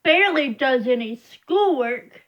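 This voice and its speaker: A woman's voice: sits high, lively intonation, measured pace, a bit bright. A young woman vlogging to camera, rambling as she complains in frustration. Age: young adult. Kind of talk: casual venting monologue.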